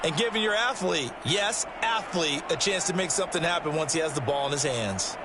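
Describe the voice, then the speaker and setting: A man's voice: on the high side, animated, brisk, heavy background noise, close to an everyday voice. A man playing a football color commentator in a video game, explaining in a conversational, emphatic and approving way. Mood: analytical.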